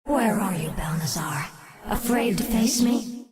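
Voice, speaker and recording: A woman's voice: mid-range voice, animated, unhurried, very noisy, natural voice. A woman playing a cold, commanding undead elf queen in a video game, imperious and menacing. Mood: taunting, menacing. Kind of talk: dramatic video game acting.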